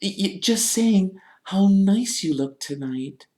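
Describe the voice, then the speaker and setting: Man voicing an anxious, high-pitched girl. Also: animated, slow, a little mellow. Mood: falsely bright. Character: anxious, falsely bright, placating young girl. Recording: roomy, echoing narration recording.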